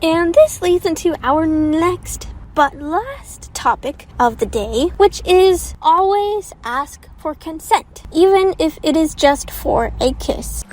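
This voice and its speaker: High-voiced young woman giving advice. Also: expressive, slow, hardly any pauses, a little mellow, slight background noise, poor audio. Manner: earnest, chatty, speaks directly to viewers. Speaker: channel host. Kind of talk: casual advice monologue.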